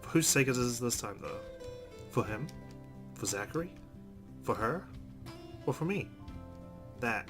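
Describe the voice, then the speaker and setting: A man's voice: mid-range voice, expressive, unhurried, pauses often. A man hosting a let's play, reading visual novel narration aloud expressively with casual asides. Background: game music.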